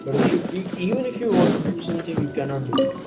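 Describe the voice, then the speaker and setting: A man's voice: on the high side, lively intonation, fast talker. Casual male gamer over prominent music. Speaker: streamer playing a game. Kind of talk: casual game commentary. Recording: game music behind the voice.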